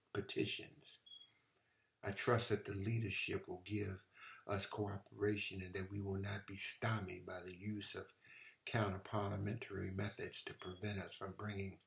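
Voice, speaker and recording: Male political orator, low-pitched with a narrow melodic range and gravel, measured and emphatic, formal delivery. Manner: measured, deliberate, emphatic phrasing with pauses. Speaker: congressman reading a speech.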